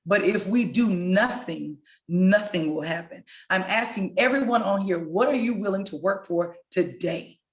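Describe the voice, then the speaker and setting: A hoarse woman, a local elected official, giving an impassioned, rallying speech over a video call. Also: medium pitch, normal speed, few pauses. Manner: impassioned, rallying, direct appeals to listeners. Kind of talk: rousing speech. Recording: echoey room sound.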